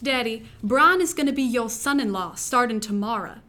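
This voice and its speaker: A woman's voice: on the high side, normal speed, sharp tone. A woman voicing a young Southern bride-to-be, 24, with a put-on American Southern accent, exclaiming and playfully scolding.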